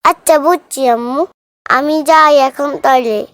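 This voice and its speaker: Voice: sits high, unhurried, fairly bright tone, dry recording, clean studio sound. A performer voicing a mischievous, stubborn village boy in a cartoon, chatty and self-assured as he explains things simply. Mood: stubborn, cocky. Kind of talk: cartoon voice acting. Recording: music behind the voice.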